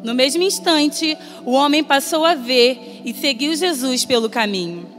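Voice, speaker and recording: A woman preacher with a Brazilian Portuguese accent, reading scripture and giving an earnest, measured sermon that rises in emphasis. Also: high voice, expressive. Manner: earnest, measured, rising emphasis on quoted voices. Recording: long reverb, soft music behind.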